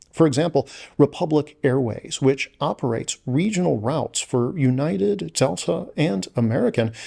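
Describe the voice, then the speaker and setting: A man reading scripted news as a podcast host, with formal, measured phrasing. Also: mid-range voice, creaky, a little mellow, slightly rough.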